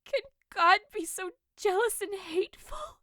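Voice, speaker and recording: Woman doing a distraught young girl in a shakespeare audio play, super high bright voice, really dramatic. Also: expressive, a little slow, dry recording, clean studio sound, exaggerated voice. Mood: distraught, demanding. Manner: theatrical, impassioned declaiming. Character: passionate teenage girl, newlywed noblewoman, desperate and grieving.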